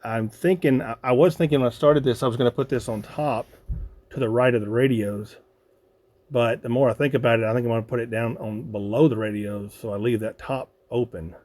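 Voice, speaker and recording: An American man hosting a hobby video, casually walking through a project unscripted, thinking aloud in a halting way. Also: mid-range voice, steady tone, darkish tone.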